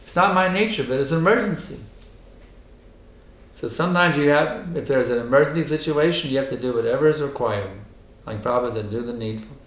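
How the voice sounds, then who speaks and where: Hoarse man, spiritual teacher, emphatic lecture, mid-pitched voice, roomy echoing hall. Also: brisk. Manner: emphatic, insistent, repeats his points. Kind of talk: temple lecture with questions and answers. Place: temple hall. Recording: echoey hall.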